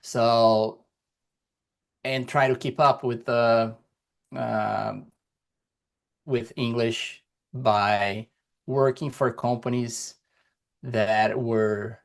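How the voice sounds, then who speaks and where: Podcast guest, male, Portuguese speaker, slow and measured, mid-pitched, on a video call. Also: steady tone. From Brazil. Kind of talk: casual conversation.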